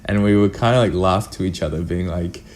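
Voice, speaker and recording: Low-voiced man chatting candidly. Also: a little fast, a little mellow. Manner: candid, informal, mildly sweary, self-interrupting. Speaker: actor and producer guest. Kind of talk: casual interview chat.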